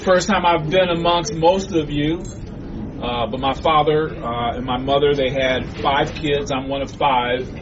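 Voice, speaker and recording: A man speaks earnestly to relatives in a preacherly manner, his voice a touch high. He sounds far from the mic on a dull recording. A crowd chatters clearly in the background. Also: steady tone, measured pace. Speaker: family member at a reunion. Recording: muffled, distant, crowd chatter behind.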